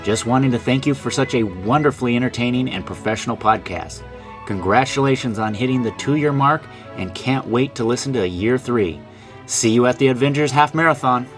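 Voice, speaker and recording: A man's voice: medium pitch, a little fast. A man recording a congratulatory message as a listener. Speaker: author sending a listener message. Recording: music behind the voice. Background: instrumental music.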